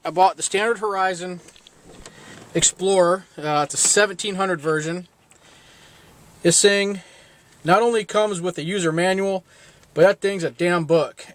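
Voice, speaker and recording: A man with a voice a little higher than average talks like a hands-on vlog host. His delivery is unscripted and informal, with a plain-spoken, self-deprecating manner. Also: fairly bright tone. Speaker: video host, DIY boat owner. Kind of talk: casual, unscripted show-and-tell vlog.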